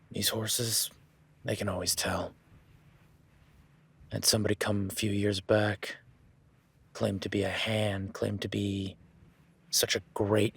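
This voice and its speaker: A man's voice: lowish voice, gravelly, a bit bright, husky, exaggerated voice. A man voicing an easygoing male cowboy ranch hand in an audio roleplay, talking in a laid-back, folksy way with casual swearing.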